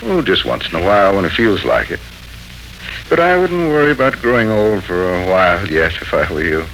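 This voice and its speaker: Very deep, gravelly wry uncle. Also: male voice, sing-song, fairly bright tone, full character voice. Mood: gently teasing. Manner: dry, teasing, measured. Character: wry, patient, fond uncle. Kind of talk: 1940s radio serial acting. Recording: noisy 1940s radio recording.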